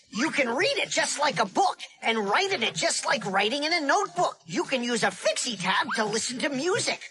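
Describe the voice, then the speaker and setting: A woman voicing a cheerful young sprite who explains things in a children's cartoon, instructive and enthusiastic. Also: high voice. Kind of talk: scripted children's cartoon narration.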